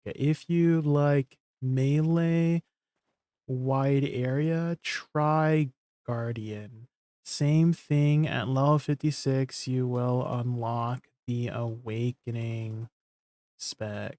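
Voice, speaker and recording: Man, game streamer, chatty, rambling, mid-pitched voice. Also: fairly even intonation, takes it slowly, a little mellow, dry recording. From Canada. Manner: rambling, chatty, laughs often, restarts mid-phrase. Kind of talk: casual live-stream chat.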